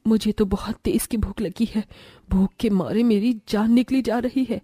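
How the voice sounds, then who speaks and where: A woman acting a bossy, haughty rich mother-in-law in a cartoon family story. Her voice is dark and mellow at a middling pitch, recorded very dry. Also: fairly even intonation, moderate pace, husky, no room sound. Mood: hungry, plaintive. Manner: bossy, scornful.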